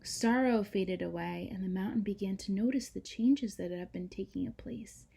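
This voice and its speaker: A woman reading a children's storybook aloud like a teacher, with dramatic emphasis, hushed moments and chatty asides to young listeners. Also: mid-range voice, normal speed, creaky, husky.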